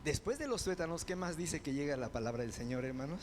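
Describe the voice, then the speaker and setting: A man preaching a sermon as pastor, building to loud fervent proclamations and dropping into quick conversational asides. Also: on the high side, expressive, a little hiss or hum.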